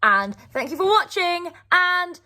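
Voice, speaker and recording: A woman chatting casually to camera as a vlog host, with an excitable, theatrical, playful manner. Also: very high-pitched, a little slow.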